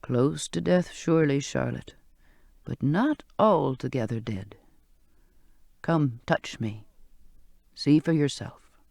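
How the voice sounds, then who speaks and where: A reader voicing a frail, gentle old male ship's cook who speaks in a low, hushed, slightly gravelly murmur, in an audiobook. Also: expressive, takes it slowly, long pauses, no room sound, studio quality. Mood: wry, gentle. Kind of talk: audiobook character voice.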